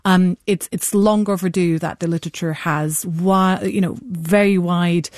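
A woman's voice: lowish voice, fairly even intonation, measured pace, darkish tone, no room sound. A woman who is a native Portuguese speaker, giving unscripted, discursive cultural commentary as a studio guest.

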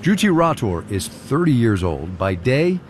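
A man's voice: mid-range voice, big pitch swings, measured pace, a little hiss or hum. A man working as a radio reporter, reading scripted narration in a conversational storytelling way with short, punchy phrases.

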